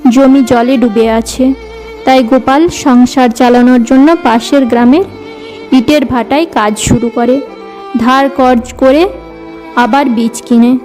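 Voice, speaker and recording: Female narrator for animated tale, high-pitched, warm dark tone, scripted delivery. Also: rough recording. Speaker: storyteller, narrator. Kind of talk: scripted cartoon story narration.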